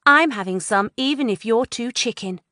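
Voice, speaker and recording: A woman's voice: a little high, expressive, normal speed, bright, forceful tone, no room sound, clean studio sound, pitched above the performer's own voice. A woman voicing a bold teenage girl, coaxing her shy friend in a storybook reading. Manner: brash, coaxing.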